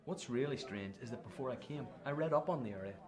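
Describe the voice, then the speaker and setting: Actor as an animated, friendly young man. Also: mid-range voice, fast talker, natural voice. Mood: excited, amused. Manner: chatty, jokey. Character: friendly, chatty young man of twenty-five from London. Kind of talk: naturalistic radio drama. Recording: busy pub chatter behind.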